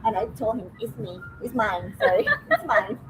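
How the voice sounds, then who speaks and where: A woman's voice: mid-range voice, very animated, moderate pace, fairly bright tone. A woman from Cuba, a native Spanish speaker with a Cuban accent, chatting casually as a livestream guest. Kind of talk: casual chat. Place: restaurant. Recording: faint restaurant background noise.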